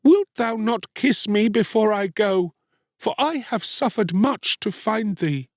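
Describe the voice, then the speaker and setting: Man as a high, imploring beggar mother. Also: animated, measured pace, no echo, studio quality. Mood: anguished. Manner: imploring, archaic storybook speech. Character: pleading, sorrowful poor beggar woman, a loving mother.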